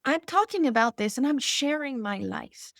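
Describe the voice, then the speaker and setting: An expert woman guest from Hawaii tells her points in an interview with emphatic animation. Her voice sits fairly high. The recording is close and dry. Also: moderate pace, no echo. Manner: animated, emphatic storyteller who laughs between points. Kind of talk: conversational interview.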